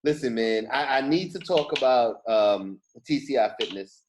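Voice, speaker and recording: Animated male podcast host, emphatic. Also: medium pitch, normal speed, noisy background. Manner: emphatic, repeats phrases. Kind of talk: casual podcast interview chat.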